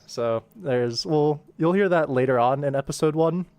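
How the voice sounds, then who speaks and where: A man's voice: on the high side, moderate pace, a little mellow, dry recording. A man giving casual, musing livestream commentary as he directs animation voice work. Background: background game music.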